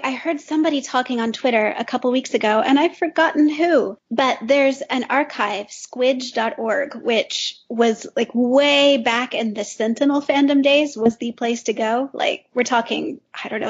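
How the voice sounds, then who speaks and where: A woman's voice: a little high, moderate pace, hardly any pauses, slightly rough, lo-fi sound. A woman co-hosting a podcast, chatting casually. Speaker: podcast host.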